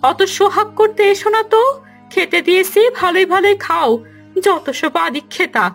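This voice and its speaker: A woman voicing a harried, bitter poor village mother in a cartoon, sharp-tongued and lamenting. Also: very high voice, normal speed, creaky, full character voice. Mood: scolding. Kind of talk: Bengali cartoon voice acting. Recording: music behind the voice.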